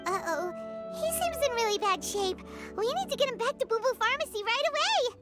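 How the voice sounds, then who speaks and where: A woman voicing a tiny, excitable, childlike fairy companion in a video game, with exclamatory delivery. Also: very high-pitched, brisk. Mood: upbeat urgency. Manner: exclamatory, speaks of herself in third person. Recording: music behind the voice.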